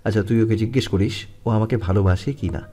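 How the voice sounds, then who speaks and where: A man's voice: lowish voice, fast, mellow. A man playing a reserved young elder brother, secretly in love, in a dramatized audio love story. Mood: guarded, confiding. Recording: music under the voice.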